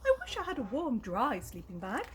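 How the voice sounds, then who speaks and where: Woman as fox, high pitch, sad, plaintive, children's storybook animal. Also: wildly swooping pitch, normal speed, a little hiss or hum. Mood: sad, wishful. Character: sad fox in need of comfort.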